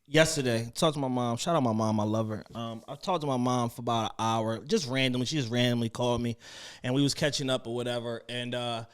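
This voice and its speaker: A man's voice: mid-range voice, fairly even intonation, quick pace, smooth. A man in his thirties giving emphatic, slangy opinions with swearing, in casual podcast banter. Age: 30s.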